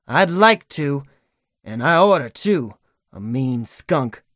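A man voicing a rough, contemptuous thief with a pistol who snarls accusations in a storybook reading. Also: mid-range voice, very animated, slow pace, a bit bright, dry recording, clean studio sound, exaggerated voice. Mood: menacing contempt.

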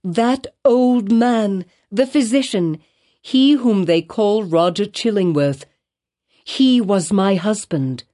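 A desperate young woman pleads in old-fashioned formal speech, her voice lifted high and strained, as a woman narrator puts her on. It sounds like a storybook reading with a mellow tone underneath. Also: lively intonation, slow pace. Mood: urgent.

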